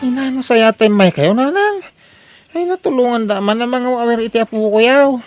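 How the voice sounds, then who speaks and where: A woman's voice: fairly high pitch, expressive, moderate pace, a little mellow, soft background music, natural voice. A woman playing an exasperated householder, scolding and complaining in an Ilocano comedy radio drama.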